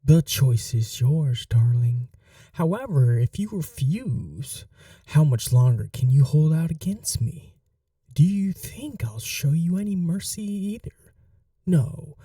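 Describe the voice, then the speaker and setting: A man playing a possessive, sadistic vampire lord in an ASMR roleplay, courtly, theatrical and taunting, with breathy drops into whispers. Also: on the high side, lively intonation, slow, warm tone, no echo, studio quality, distant mic. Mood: mocking, daring, then cold menace. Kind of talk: dominant ASMR roleplay acting.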